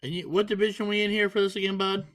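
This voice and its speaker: A man gives live play-by-play at a darts event in a slightly high voice. He jokes constantly and makes fun of himself between throws. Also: fairly even intonation, quick pace, a bit bright. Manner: playful, self-mocking banter. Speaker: darts commentator. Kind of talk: joking live sports commentary.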